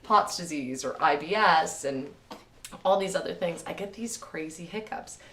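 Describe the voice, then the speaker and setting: A woman hosting a casual chat, with a naturally raspy voice and a chatty manner full of laughs and animated retellings. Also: low voice, moderate pace. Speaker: podcast host. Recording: roomy echo, mic at a distance.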